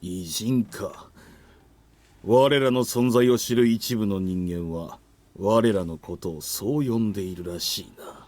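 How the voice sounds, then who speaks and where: A man's voice: on the low side, moderate pace, warm tone, dry recording, close to an everyday voice. A man playing a menacing, haughty shapeless monster in a Japanese visual novel. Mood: haughty menace. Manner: haughty, threatening.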